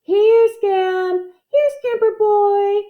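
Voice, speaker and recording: A woman voicing a scrawny young farm boy from a storybook, calling out loudly in drawn-out words. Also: very high-pitched, fairly even intonation, phone-quality sound, pitched above the performer's own voice, exaggerated voice. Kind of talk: storybook character voice.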